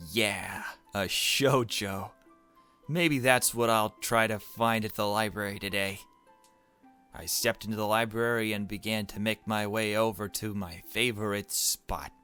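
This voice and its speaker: Man reading as a gentle, bookish young girl. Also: fairly low pitch, clear, resonant tone. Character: gentle, bookish, anxious young girl narrating her life. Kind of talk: visual novel read-aloud narration.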